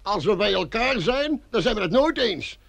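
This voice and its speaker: High-voiced, preachy village priest. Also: male voice, big pitch swings, normal speed, creaky, a bit bright, no echo, heavily acted. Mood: fed up. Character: sanctimonious village priest, easily puzzled. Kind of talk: theatrical radio play acting.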